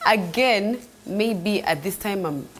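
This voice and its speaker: A young woman, telling a faith testimony in an earnest, animated way that sometimes drops to a soft near-whisper. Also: medium pitch, slight background noise. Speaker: host sharing a faith testimony. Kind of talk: devotional storytelling.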